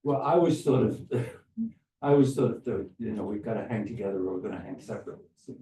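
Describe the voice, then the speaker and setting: An older man giving informal, rambling remarks to a gathering, often halting and restarting his phrases. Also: fairly low pitch, dark tone. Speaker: academic philosopher and speaker at a conference. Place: echoey room at a conference. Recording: roomy, distant microphone, faint background noise.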